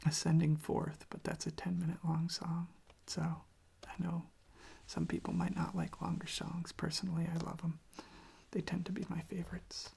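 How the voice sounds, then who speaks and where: A soft-spoken ASMR host rambling casually in a gentle, hesitant, self-deprecating way, with a breathy, husky, whispery voice close to the microphone. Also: medium pitch, moderate pace. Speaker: host of a relaxation channel showing records.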